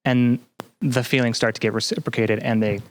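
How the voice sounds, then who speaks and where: A man speaking as a podcast guest, explaining things casually with hesitant, thoughtful, halting phrasing. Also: mid-range voice, steady tone, slight background noise. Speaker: podcast guest, game developer and researcher.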